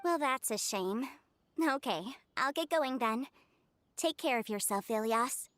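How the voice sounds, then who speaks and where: A woman playing a caring, protective young sister in a video game, brisk and affectionate. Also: on the high side, lively intonation, a bit bright, dry recording. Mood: friendly. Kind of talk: video game character acting.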